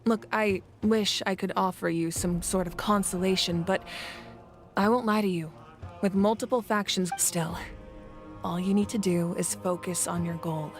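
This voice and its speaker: A woman's voice: on the low side, steady tone, normal speed, soft background music, close to an everyday voice. Woman acting a composed, dignified game leader. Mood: reassuring. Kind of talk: video game character voice acting.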